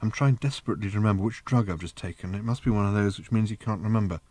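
A man's voice: fairly low pitch, racing, darkish tone, no echo, lightly acted. Guy doing a spaced out drugged bloke in an audiobook, feeble and hesitant, dry sound. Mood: dazed. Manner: weak, hesitant. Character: dazed, drugged man groping for memory.

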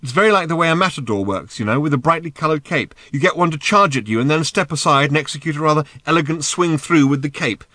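A man's voice: a little high, animated, quick pace, hardly any pauses, sharp tone, dry recording, pitched above the performer's own voice. A man voicing an earnest, matter-of-fact male character in an audiobook, explaining things insistently with a raised voice. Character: earnest, matter-of-fact man raising his voice over a din.

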